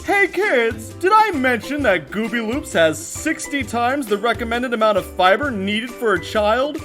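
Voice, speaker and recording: Someone doing a peppy high cartoony cereal ad voice, super salesy, swoops around, music under it. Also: very animated, unhurried, a bit bright. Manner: upbeat, exaggerated salesy delivery. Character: peppy high-pitched cereal commercial pitch character. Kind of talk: parody children's cereal commercial.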